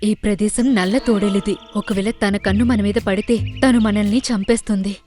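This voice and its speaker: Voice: medium pitch, fairly even intonation, moderate pace, darkish tone, faint music behind, lightly acted. A woman voicing an anxious, protective mother deer in a cartoon, speaking urgently. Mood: anxious urging. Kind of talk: cartoon character voice acting.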